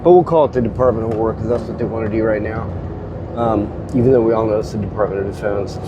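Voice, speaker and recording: A man's voice: lowish voice, steady tone, fast talker, dull audio, background noise. Stuffy-nosed man rambling with a cold. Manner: rambling, hesitant, many restarts. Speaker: host. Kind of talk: casual spoken commentary. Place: car.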